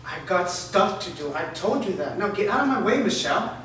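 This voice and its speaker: A man's voice: high-pitched, big pitch swings, a little fast, a bit bright. A man reading the part of a blunt, defiant teenage boy who swears freely. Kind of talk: staged reading. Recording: roomy echo, far from mic, noise.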